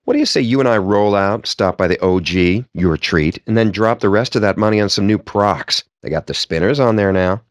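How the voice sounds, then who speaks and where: A man's voice: on the low side, a little fast, hardly any pauses, no echo, clean studio sound, lightly acted. A man voicing a wry, pompous, foul-mouthed gamer geek in video game dialogue, with a sardonic, wordy and theatrical delivery. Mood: smug, relaxed.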